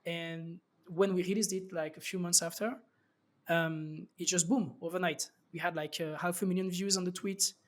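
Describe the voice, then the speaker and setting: French guy on a podcast, kinda high voice, lots of likes and ums. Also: fairly even intonation, moderate pace. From France. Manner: loose, many fillers and restarts. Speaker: startup founder guest. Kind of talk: casual interview talk.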